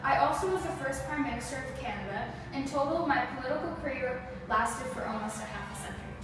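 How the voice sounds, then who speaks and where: A woman reading scripted answers in the role of a historical man, in a plain, steady way. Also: high voice, fast. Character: grown man, a historical Canadian figure. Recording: echoey room, far from the mic, with background noise.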